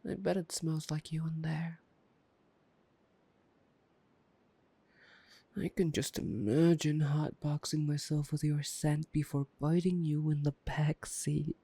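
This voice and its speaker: A man playing a taunting, playful, predatory vampire in an intimate ASMR roleplay, teasing and drawling with soft menace. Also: on the high side, steady tone, a little slow, creaky, few pauses, breathy edge, no echo, exaggerated voice. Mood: amused, musing.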